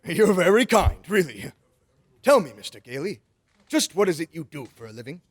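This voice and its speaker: A man's voice: a little high, very animated, moderate pace. A man playing a jolly, kindly old Santa Claus in old-time radio drama style, with playful, grandfatherly, coaxing phrasing. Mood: soft, earnest.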